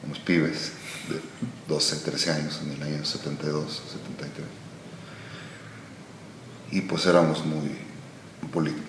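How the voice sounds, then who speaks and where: A man in his sixties, born in Argentina, answering interview questions slowly and thoughtfully, often pausing and trailing off. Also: a bass voice. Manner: slow, thoughtful, trailing off with many pauses. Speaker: musician guest. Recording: echoey room with noise.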